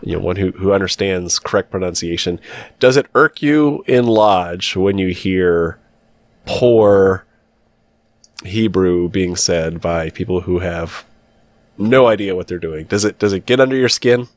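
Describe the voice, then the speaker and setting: A man with a very deep voice hosts a casual podcast, feeling his way through questions with plenty of pauses and fillers. The mic is close and dry. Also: no echo. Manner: relaxed, hesitant, many fillers.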